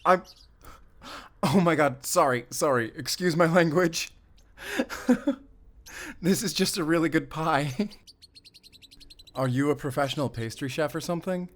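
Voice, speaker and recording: A man's voice: a little high, measured pace, long pauses, natural voice. Chatty, friendly forest ranger guy, acted by a man. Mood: delighted, gushing. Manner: chatty, rambling, eager, with bashful hesitations. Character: friendly, talkative, excitable young male forest ranger. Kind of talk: audio roleplay acting addressed to the listener.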